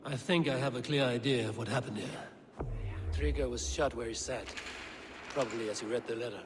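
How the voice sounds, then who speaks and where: Guy doing serious warrior sleuth for a game, measured and terse, mid pitch, music under it. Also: natural voice. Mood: grave, analytical. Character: grave, observant male warrior investigating a murder. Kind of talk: dramatic video game acting.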